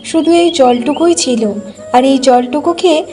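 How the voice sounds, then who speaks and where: A woman's voice: sits high, moderate pace, mellow, natural voice. A woman voicing an earnest, caring village wife in a cartoon fairy tale, homely and respectful in manner. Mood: apologetic.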